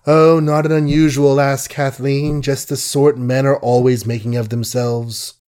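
A man voicing a lovesick young gentleman who berates himself in impassioned, desperate outbursts in an audiobook. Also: mid-range voice, level tone, unhurried, natural voice. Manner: impassioned, desperate, self-mocking. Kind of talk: audiobook character voice.

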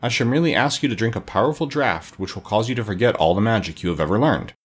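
Storybook sorceress, played by a man: composed, stern, formal, pitch lifted and expressive. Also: quick pace, slightly rough. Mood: calm. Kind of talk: storybook character voice.

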